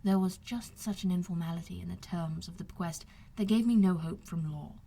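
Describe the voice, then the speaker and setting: A woman voicing a wronged young gentleman officer in an audiobook, talking in a formal, earnest, confiding way. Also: fairly low pitch, a little fast, creaky. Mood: aggrieved. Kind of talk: audiobook character dialogue.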